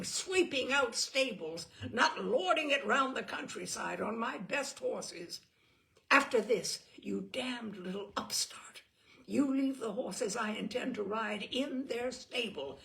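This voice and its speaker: A woman voicing a furious, drunken young man who sneers and shouts at his brother. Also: on the high side, creaky, pitched above the performer's own voice, heavily acted.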